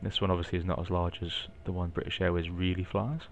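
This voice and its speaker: A man's voice: sits low, fast, a little hiss or hum. A man with a Geordie accent casually narrating a flight simulator session, explaining each step and thinking aloud. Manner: relaxed, explanatory, thinking aloud with light asides. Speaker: flight simulator video maker. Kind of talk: casual narrated gameplay walkthrough.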